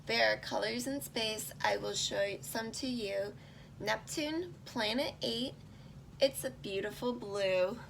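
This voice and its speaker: Woman, unhurried children's read-aloud, creaky voice, simple teacherly explaining, faint background noise. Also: fairly high pitch, fairly bright tone, rough recording. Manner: slow, simple, child-directed explaining with questions to listeners. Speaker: reader of a children's book, teaching young listeners. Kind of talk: read-aloud of a rhyming picture book with casual asides.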